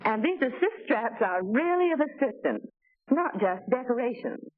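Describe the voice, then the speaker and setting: A woman's voice: fairly high pitch, very animated, normal speed. An actress playing a well-bred, admiring lady car shopper, genteel and carefully enunciated, in 1940s promotional film style. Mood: pleased, admiring. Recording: tinny 1940 film soundtrack.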